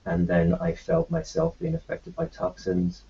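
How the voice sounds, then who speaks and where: A man roleplaying his character in a tabletop game, talking in a halting way full of fillers and restarts. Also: on the high side, sing-song, gravelly, warm tone, slight background noise. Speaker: player voicing his doctor character. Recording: dull lo-fi recording.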